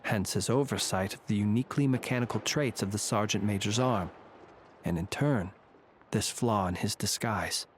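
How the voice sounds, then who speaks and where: An actor playing a courteous, composed male investigator in a video game, speaking in a measured, formal way. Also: lowish voice, slight background noise, lightly acted. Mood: analytical, grave.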